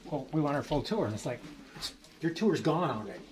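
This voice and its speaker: A man leads a tour group through a historic building, telling its stories quickly in a folksy, joking way. His voice sits a little high. It sounds roomy and distant, with noise behind him. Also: lively intonation, crowd noise. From Michigan, USA. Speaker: tour guide and village director. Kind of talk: guided heritage tour talk. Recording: roomy echo, far from the mic, noise behind.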